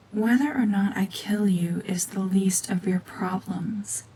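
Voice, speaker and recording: A woman voicing a cold, mean renegade gorgon witch in a hushed, half-whispered ASMR drama, domineering and sardonic. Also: lowish voice, a little hiss or hum, poor audio. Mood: threatening.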